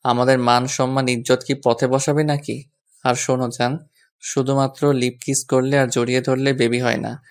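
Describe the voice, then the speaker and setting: A man's voice: medium pitch, little pitch movement, normal speed, lightly acted. A young man playing an exasperated, bossy yet fond husband in a romantic audio story, with a scolding, sarcastic manner. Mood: teasing, fond.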